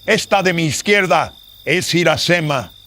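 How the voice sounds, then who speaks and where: Man as a haughty master, highish voice, faint noise. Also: animated, unhurried, bright, forceful tone. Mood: haughty, stern. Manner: haughty, archaic, commanding. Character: stern older aristocratic master of a haunted mansion. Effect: voice processed with audio filters. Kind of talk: Spanish horror radio drama acting.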